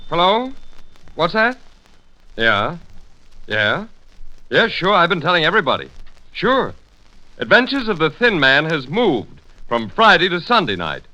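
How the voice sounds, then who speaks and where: A man's voice: a little high, wildly swooping pitch, a little slow, pauses often, pushed and bright, highs cut off, slight background noise. A man with an American accent delivering a punchy, breathless scripted promotion in 1940s radio style.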